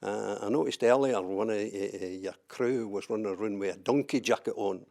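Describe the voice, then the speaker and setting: Gravelly older Scottish man, low voice. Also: fairly quick, darkish tone. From southwest Scotland. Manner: hesitant, many fillers and repeated words. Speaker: interviewee, trade unionist. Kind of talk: oral history interview.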